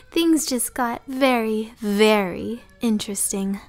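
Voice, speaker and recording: A woman's voice: fairly high pitch, takes it slowly, faint music behind. A woman voicing a vengeful, mocking teenage villainess in a theatrical comic dub. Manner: taunting, theatrical. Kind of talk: dramatic anime-like acting in a comic dub.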